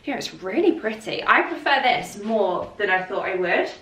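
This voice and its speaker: A woman's voice: mid-range voice, expressive, normal speed, a bit bright. A woman vlogging a casual, enthusiastic try-on chat with fillers, heard from a distance. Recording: echoey room from a distance.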